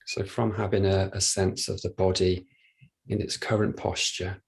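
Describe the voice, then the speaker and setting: Male meditation teacher, husky and low, flat and gentle delivery, unhurried guided practice. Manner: unhurried, gentle, with long pauses. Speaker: meditation teacher leading a body scan. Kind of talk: guided meditation, soft instruction.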